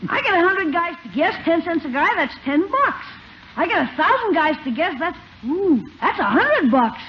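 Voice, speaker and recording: A woman's voice: very high voice, sing-song, moderate pace. A woman playing an eager, slangy, scheming young boy in a 1950s radio sitcom. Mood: eager, boastful. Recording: muffled, noisy old radio broadcast recording.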